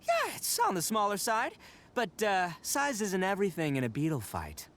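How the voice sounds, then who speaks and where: An actor voicing a boisterous horned oni man, a cocky braggart with loud laughs. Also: high-pitched, wildly swooping pitch, moderate pace, exaggerated voice. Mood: bragging. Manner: boastful, loud laughs, brash. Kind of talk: game voice acting. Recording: game dialogue over music.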